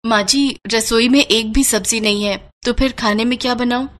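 A woman's voice: on the high side, steady tone, normal speed, lightly acted. A woman voicing a poor, dutiful young village bride, earnest and polite, in a cartoon moral story. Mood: wistful, dutiful.

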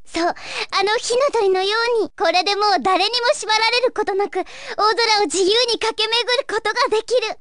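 An actress playing a gentle, earnest young fairy guide of a dream world in a video game, with a wistful, pleading manner. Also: female voice, very high voice, moderate pace, no echo. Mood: wondering. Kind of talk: Japanese video game story acting.

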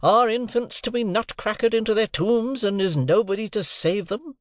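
A woman's voice: mid-range voice, moderate pace, dry recording, studio quality, pitched above the performer's own voice, close to an everyday voice. A woman voicing a helpless, exasperated family man in an audiobook, remonstrating in desperate exclamations. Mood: desolate desperation. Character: helpless, exasperated gentleman, desperate father of a household. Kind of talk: audiobook character acting.

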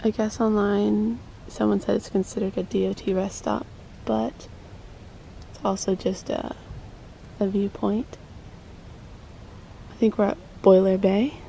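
A woman's voice: medium pitch, level tone, measured pace, vocal fry, pauses often, slight background noise, poor audio. A woman in her twenties chatting casually as a travel vlogger into a handheld camera. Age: 20s. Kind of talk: casual vlog chat. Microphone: handheld camera at arm's length. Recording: loud music over handheld outdoor video.

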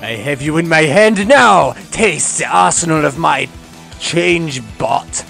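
A man doing a gloating, creaky cartoon villain. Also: fairly high pitch, expressive, takes it slowly, fairly bright tone, soft background music, exaggerated voice. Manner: theatrical, taunting. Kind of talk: amateur comic dub.

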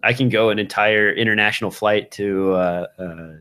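A man in his thirties with a fairly low voice hosts a podcast. He talks casually about tech gear, speaking closely into a studio microphone. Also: fairly even intonation, normal speed, bright, forceful tone, clear, resonant tone. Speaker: podcast host. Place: small indoor room with shelves of gear. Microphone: broadcast microphone on a boom, close up, with headphones.

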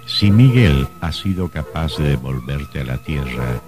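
A man narrating a suspenseful radio drama in a solemn, measured, theatrical way. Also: rumbling low, expressive, music in the background.

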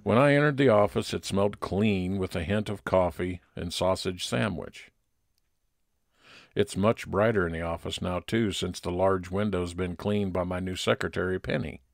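A man playing a friendly, observant private investigator, narrating an old-time radio detective story in a measured way. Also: low voice, vocal fry, dry recording, clean studio sound. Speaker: narrator and lead actor.

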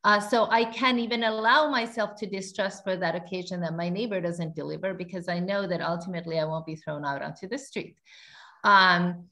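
A woman's voice: on the low side, fairly even intonation, fairly quick, sharp tone. A woman from Finland in her forties, a researcher answering a panel question over a video call, fluent and explanatory with light fillers. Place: indoor room. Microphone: video call webcam.

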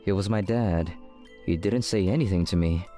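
A man's voice: sits low, moderate pace, dark tone, soft background music, natural voice. A man voicing a guarded teenage schoolboy who narrates his story casually in a manga dub. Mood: deadpan, narrating. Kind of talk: scripted first-person manga dub narration.

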